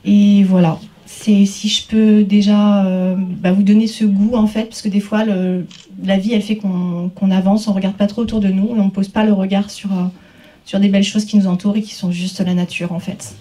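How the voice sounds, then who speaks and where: Woman photographer talking at an opening, flat soft tone, kinda hesitant, mic far off. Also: medium pitch, moderate pace. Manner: hesitant, unscripted, searching for words. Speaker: photographer, exhibiting artist. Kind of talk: informal speech at an exhibition opening. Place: exhibition opening, speaking to guests. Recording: distant microphone.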